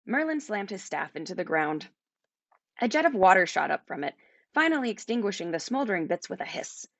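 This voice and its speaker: A woman narrating a children's fantasy book aloud as its author, in an expressive storytelling style. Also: medium pitch, brisk, no room sound. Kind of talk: animated children's audiobook narration.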